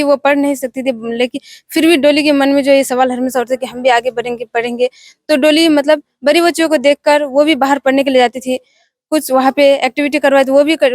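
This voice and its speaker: A young woman talks in a very high voice with hardly any room echo. She shares her impressions in an informal, halting way, reaching for words and rephrasing as she goes. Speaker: film festival participant. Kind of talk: informal spoken film review.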